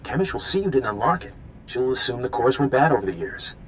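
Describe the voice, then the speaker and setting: A man playing an earnest, eager undercover informant in a video game, hurried and slightly awkward, with rumbling noise behind him. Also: very animated, natural voice. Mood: urgent, confiding. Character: earnest, eager male rebel informant, secret agent.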